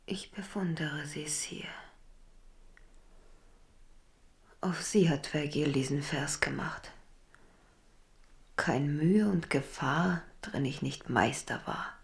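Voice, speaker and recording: An actress playing an amorous, tender and possessive young queen in a German radio drama, in a breathy whisper. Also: deep voice, takes it slowly. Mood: soft, possessive.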